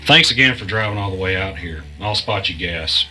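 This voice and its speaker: An anxious, earnest podcast host played by a man in a conspiracy audio drama. His voice sits low, and he talks naturally and a little hesitantly, with soft music behind him. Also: animated, a little fast, heavily acted. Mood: uneasy, hesitant. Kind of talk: audio drama, naturalistic dialogue and first-person narration.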